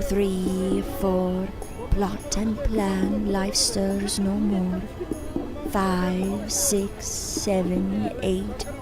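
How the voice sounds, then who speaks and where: Woman acting a cold, haughty circus mystic. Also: mid-range voice. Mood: ominous, cryptic. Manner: deliberate, theatrical, cutting. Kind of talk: audio drama acting.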